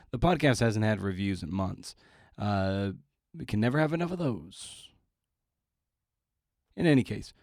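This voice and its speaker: A man's voice: mid-range voice, darkish tone, no room sound, clean studio sound. A man hosting a podcast solo, talking casually with wry, playful, slangy, self-deprecating asides. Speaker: podcast host. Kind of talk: casual podcast monologue with announcements.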